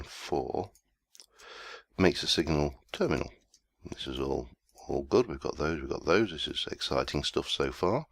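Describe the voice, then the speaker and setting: Brief: male game host, very low voice, chesty with a cold, rambling and self-mocking, dry close mic. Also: a bit bright, no room sound. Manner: rambling, self-deprecating, easygoing. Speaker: let's play host. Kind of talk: casual gameplay commentary.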